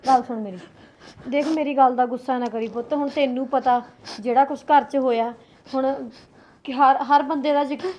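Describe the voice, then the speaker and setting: A worried middle-aged mother speaks, voiced by a woman. Her voice sits high, and she swings scolding to gentle coaxing as she tries to keep her family together. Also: close to an everyday voice. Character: worried middle-aged mother caught between son and daughter-in-law.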